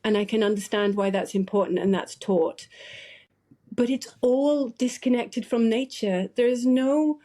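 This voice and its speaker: Mellow-voiced, earnest British woman. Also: a little high, a little slow. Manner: earnest, frustrated. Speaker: podcast host. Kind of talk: conversational podcast discussion.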